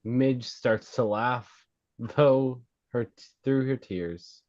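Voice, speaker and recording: A man reading screenplay stage directions at a table read, halting and stumbling over words as he goes. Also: mid-range voice, a little mellow. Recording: slightly dull, lo-fi sound.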